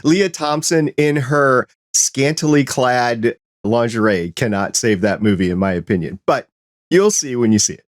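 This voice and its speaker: A man's voice: mid-range voice, a little slow. A man hosting a podcast interview, asking questions with a teasing, joking manner. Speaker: podcast host. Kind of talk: casual interview chat.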